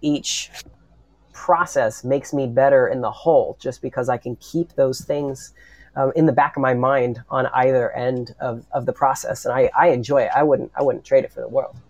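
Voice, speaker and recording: A man with a mid-pitched voice in a dry recording. He speaks earnestly and reflectively, feeling his way through phrases and repeating words, as a writer and actor on a panel would. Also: steady tone, normal speed, a little mellow, no room sound. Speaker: writer and voice actor on an audio drama.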